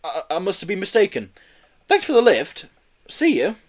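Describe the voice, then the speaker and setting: Man doing an anxious, high-pitched storybook boy. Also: huge pitch swings, normal speed, heavily acted. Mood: covering up. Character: Joe, anxious quick-thinking boy from Liverpool. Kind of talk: children's storybook character voice.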